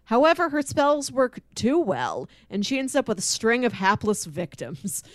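A woman co-hosting a podcast in casual chat, speaking in an enthusiastic, expressive way. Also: on the high side, normal speed, no echo. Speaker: podcast co-host. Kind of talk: casual chat with read-out film pitches.

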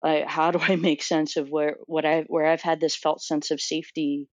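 A woman's voice: low voice, flat delivery, moderate pace, dry recording. A woman in her thirties from Florida, a doctoral student answering interview questions thoughtfully, with many fillers and restarts. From Florida, USA.

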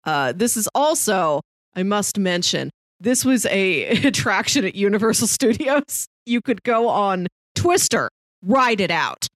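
A woman with a medium-pitched voice talks casually as a podcast co-host. She explains things in a chatty, lightly amused way, and the recording is dry with very little room sound. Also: sing-song, a little slow, dry recording. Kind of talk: casual movie discussion.

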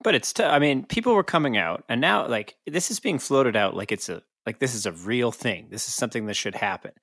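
A man's voice: medium pitch, animated, brisk, a bit bright, no echo, clean studio sound. A man co-hosting a podcast in casual sports discussion, talking in a conversational, argumentative way. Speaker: podcast co-host.

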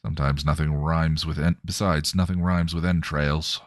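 A man voicing a coarse, sneering male vampire demon who talks in cocky, rough slang. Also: extremely low pitch, level tone, normal speed, dry recording, clean studio sound.

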